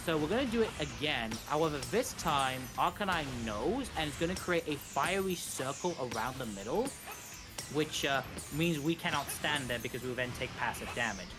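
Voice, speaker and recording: Higher-voiced man explaining a game speedrun live. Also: animated, normal speed. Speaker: speedrun commentator. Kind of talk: live gameplay commentary, explanatory. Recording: music behind the voice. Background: game music.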